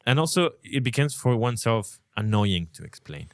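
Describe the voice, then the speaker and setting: Man, podcast host, very expressive pitch, dry close sound, reflective and rambling. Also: normal speed, clean tone, dry recording. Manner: reflective, rambling, swears casually. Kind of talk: casual podcast conversation.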